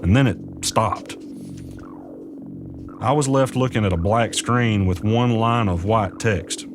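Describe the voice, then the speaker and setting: An actor playing an uneasy man who recounts eerie events in measured, suspenseful first-person audio drama narration. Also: on the low side. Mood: ominous suspense. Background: music.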